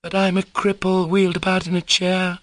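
An excitable, imperious teenage girl from a classic novel, acted out by a male audiobook reader. The voice sits high and sounds urgent and demanding, with exclamations and orders. It is recorded close and dry. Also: a little fast, dry recording. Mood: nervous, indignant. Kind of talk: dramatised audiobook reading.

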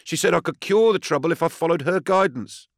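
A man's voice: fairly high pitch, brisk, gravelly, no echo, studio quality, voice pushed up. A man voicing a frightened, cringing farmer on trial in an audiobook, deferential, anxious and pleading as he answers. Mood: desperate. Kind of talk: audiobook character acting.

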